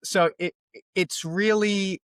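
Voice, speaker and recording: A man's voice: high voice, slow pace, clear, resonant tone, no echo, studio quality. A man from New York with a New York accent, talking as a podcast guest about coaching, thoughtful and full of restarts. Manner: thoughtful, restarts often, animated. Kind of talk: casual conversational interview.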